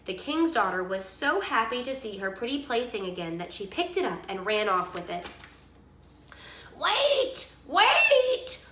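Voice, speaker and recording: A woman reading a storybook aloud to a child in an expressive storytelling style, in an echoey room. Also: mid-range voice, brisk, few pauses, a little hiss or hum.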